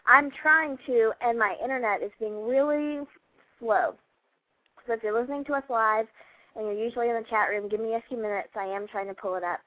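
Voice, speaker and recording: A woman's voice: on the high side, a little fast, dry recording. A woman chatting casually as co-host of a live radio show. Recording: phone-like, dry, narrow audio.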